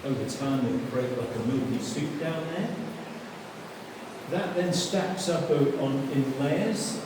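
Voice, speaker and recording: A male tour guide talks a group through a limestone cave in a chatty, explaining way and keeps putting questions to the visitors. His mid-pitched voice reaches a camera some way off and rings around the stone with a long, cavernous echo. A steady hiss of noise sits under the whole recording. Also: slow. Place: inside a limestone show cave. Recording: echoey cave, distant camera mic, noisy.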